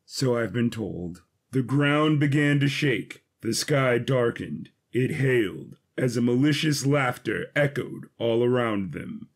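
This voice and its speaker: A man with a husky voice giving a scripted, dramatic narration of an adventure story. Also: mid-range voice, unhurried, few pauses.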